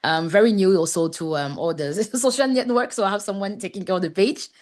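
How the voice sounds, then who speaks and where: A woman from Ghana, a podcast guest speaking earnestly over a video call, halting often with fillers and restarts. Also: mid-range voice, darkish tone. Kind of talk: conversational interview.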